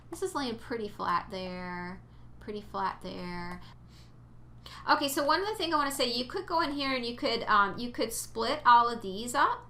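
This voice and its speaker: A woman's voice: on the high side, pushed and bright, a little hiss or hum. A woman teaching 3D modeling in a casual screen-recorded tutorial, chatty and rambling with playful exclamations, a bit sniffly. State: sniffly from allergies.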